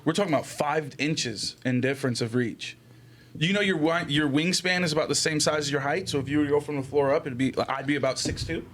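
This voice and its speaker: Slightly high-voiced young man, slangy sports talk. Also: fairly quick, fairly bright tone. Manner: profane, slangy, argumentative. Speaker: podcast co-host. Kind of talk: casual group chat, sports debate. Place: small room, indoor podcast setup. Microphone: close podcast microphone with headphones.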